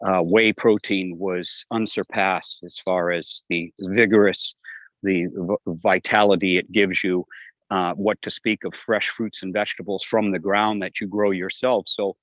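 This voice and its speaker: A man calling in to a scripture class, talking in a halting way with lots of "uh". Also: low-pitched, steady tone, a bit bright, dry recording. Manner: halting, many "uh" fillers. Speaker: remote caller. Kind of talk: casual call-in remarks. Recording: dull lo-fi call audio, dry.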